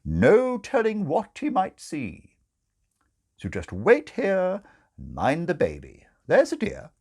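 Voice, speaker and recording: A man voicing a brisk, bossy, matronly senior nun with a firm, condescending manner in a storybook reading. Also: high voice, wildly swooping pitch, darkish tone, slightly rough, voice pushed up, full character voice. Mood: impatient, patronising.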